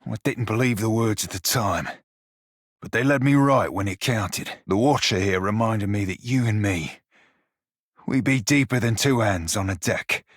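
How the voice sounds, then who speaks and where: A gruff pirate shiphunter from a fantasy video game, with a rough, husky voice on the low side. He talks in archaic sailor dialect with a wry swagger and a loyal streak. The sound is close and dry. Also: fairly bright tone, no echo. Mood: earnest, warm. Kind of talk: video game character voice lines.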